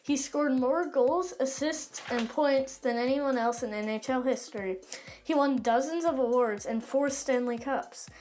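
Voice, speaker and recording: A woman's voice: sits high, a little slow, a little mellow. A female speaker reading out short scripted facts on a noisy recording. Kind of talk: short scripted fact read-out.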